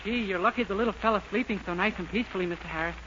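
Man playing a naive, high-voiced young radio innocent. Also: racing, close to an everyday voice. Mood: naive eagerness. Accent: American. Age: young adult. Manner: innocent, earnest, polite. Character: naive, eager, dim-witted young man. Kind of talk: 1940s radio sitcom acting. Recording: muffled, very noisy 1940s radio recording.

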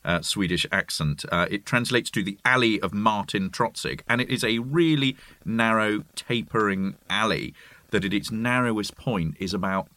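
Middle-aged guy, low voice, eager chatty describer, dry close mic. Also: moderate pace, pushed and bright, no echo. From near York, England. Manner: eager agreeing, descriptive storyteller. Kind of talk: casual conversational chat.

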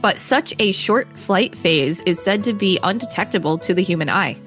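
A woman's voice: mid-range voice, animated, moderate pace, a bit bright. A woman co-hosting and reading an encyclopedia article aloud in a scripted narration style, with brief casual asides. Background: faint guitar music.

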